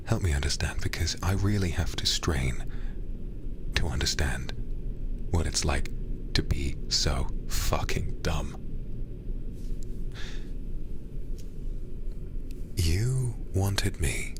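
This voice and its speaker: A man playing a mocking, menacing demon lord in an ASMR roleplay, breathy and condescending, often dropping into a whisper. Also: sits low, slight background noise. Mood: scornful, condescending. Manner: breathy whispering, condescending, deliberate drawn-out phrasing.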